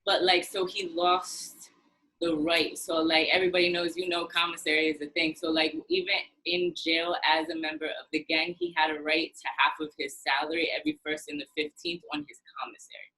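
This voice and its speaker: A woman hosting a podcast, telling stories in casual chat, animated and full of "like". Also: deep voice, brisk, low-quality recording.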